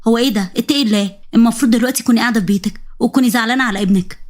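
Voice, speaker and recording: A woman's voice: on the high side, racing, lightly acted. A woman voicing a protective middle-aged mother who reproaches her daughter's mother-in-law, speaking with an Egyptian accent. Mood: indignant. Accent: Egyptian Arabic. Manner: indignant, reproachful.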